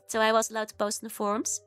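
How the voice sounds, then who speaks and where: A woman's voice: a little high, completely flat delivery, fast talker, a bit bright, smooth, soft background music. A young woman with a Dutch accent chatting casually on a livestream, giggly and rambling with lots of fillers. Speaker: VTuber streamer.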